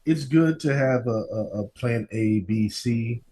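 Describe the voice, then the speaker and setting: A man hosting a casual interview podcast, speaking in an affirming, halting way and repeating himself as he goes. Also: medium pitch, mellow, slight background noise.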